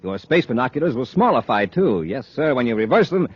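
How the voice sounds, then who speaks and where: A confident male space commander with an American accent in 1950s radio style. The voice is slightly rough and very animated, with brisk authority. The old recording is dry and dull. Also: medium pitch, no echo. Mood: confident, upbeat. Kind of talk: 1950s radio serial acting and pitch.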